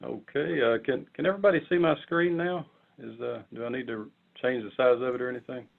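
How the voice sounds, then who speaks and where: A man informally presenting on a conference call, explaining step by step in a halting way and often repeating words. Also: medium pitch, creaky. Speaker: committee member presenting proposals. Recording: muffled narrow phone-like conference line.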